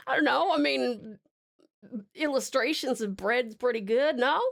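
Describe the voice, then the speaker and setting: A woman's voice: sits high, a little slow, vocal fry, a bit bright. A woman hosting a livestream in casual chat, answering viewers in a playful, giggly way. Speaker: livestream host.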